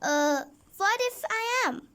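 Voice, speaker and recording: A woman's voice: very high-pitched, big pitch swings, slow, sharp tone, full character voice. A woman voicing a mischievous, cocky young boy who schemes and bosses in a children's storybook. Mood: suspicious.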